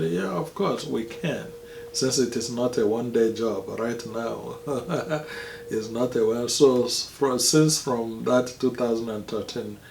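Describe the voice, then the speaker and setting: A man's voice: fairly low pitch, creaky. Nigerian accent, man, priest, hesitant with fillers, roomy echo, faint background hum. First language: Igbo. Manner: slow and hesitant, many pauses and fillers. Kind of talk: interview, telling his life story.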